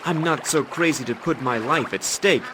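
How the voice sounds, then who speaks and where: A young actor playing a vain, boastful fairy-tale hero who talks in a brash, impatient way in a game. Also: male voice, a little high, normal speed, noisy background, natural voice. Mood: arrogant. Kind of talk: game voice acting.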